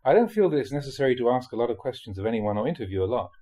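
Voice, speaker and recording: A man reading a spiritual talk aloud in a measured, explanatory way, often posing rhetorical questions. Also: mid-range voice, very animated, warm tone. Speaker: monk reading dharma talks aloud.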